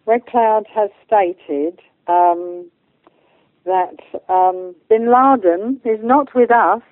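A woman's voice: medium pitch, very slow, dry recording. British woman on a phone-like line. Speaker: guest, spiritual medium and channeller. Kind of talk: radio interview talk.

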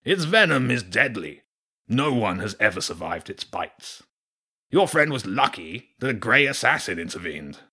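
A man voicing a huge, grumpy, lazy old badger king in a storybook reading, speaking slowly and gruffly with a husky voice. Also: lowish voice, very animated, pushed and bright, exaggerated voice. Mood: grave. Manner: slow, grumbling, gruff.

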